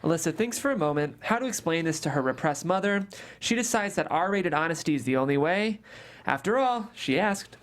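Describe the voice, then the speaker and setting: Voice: medium pitch, expressive, a little fast. A narrator reading stage directions aloud in a script table read, plain and matter-of-fact.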